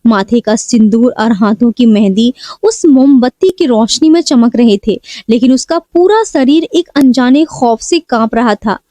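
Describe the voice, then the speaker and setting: A woman's voice: on the high side, animated, unhurried, warm tone, no echo, studio quality. A woman storyteller reading a scripted, dramatic story.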